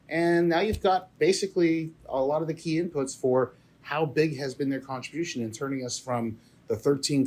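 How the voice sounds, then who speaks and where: A man's voice: medium pitch, a little mellow, slightly rough. A man answering as the economist guest in an interview, emphatic, often repeating words as he restarts.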